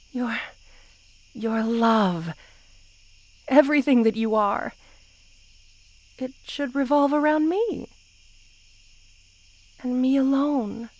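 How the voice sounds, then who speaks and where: Woman acting a slow, possessive lamia queen. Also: a little high, a little mellow, no echo. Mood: possessive, hushed. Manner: slow, tender, sighing, possessive delivery. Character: possessive, lovesick yandere lamia queen, half snake. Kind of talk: intimate roleplay ASMR acting.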